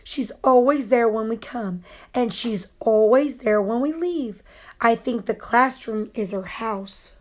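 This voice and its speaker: A woman's voice: fairly high pitch, slow pace. A woman voicing a curious, insistent young schoolgirl in a children's storybook read-aloud. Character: curious young schoolgirl, sure of her theory.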